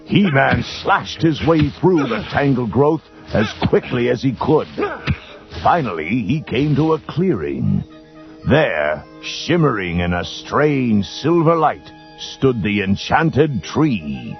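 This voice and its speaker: A man's voice: medium pitch, sing-song, slow pace, vocal fry, lo-fi sound. A man giving dramatic scripted storybook narration. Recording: band-limited old readalong tape with music.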